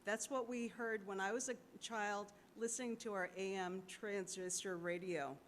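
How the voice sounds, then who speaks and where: A woman whose first language was not English, giving an unscripted thank-you speech in church that halts often. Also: steady tone. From United States. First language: Cantonese or Malay family language. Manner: halting, with many fillers and restarts. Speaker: church donor and board host. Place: large sanctuary. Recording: long hall reverb with faint rumble.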